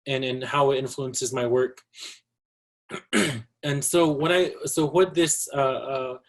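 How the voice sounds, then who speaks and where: A man from the Navajo Nation gives a presentation at a virtual symposium, speaking in a steady, measured way. He pauses with ums and clears his throat now and then. His voice sits at an ordinary middle pitch. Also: poor audio. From Navajo Nation, US Southwest. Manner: measured, opens with a greeting in his own language. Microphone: video call.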